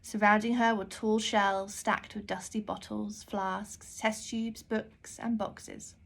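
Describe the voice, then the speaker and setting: A woman author reading her children's book aloud in an expressive storytelling style. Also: mid-range voice, slow pace, vocal fry.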